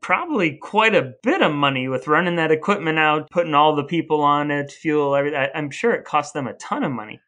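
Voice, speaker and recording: A man's voice: fairly high pitch, expressive, a little fast, hardly any pauses, fairly bright tone. A man co-hosting a podcast in casual chat, asking curious questions and adding dry quips. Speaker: podcast co-host.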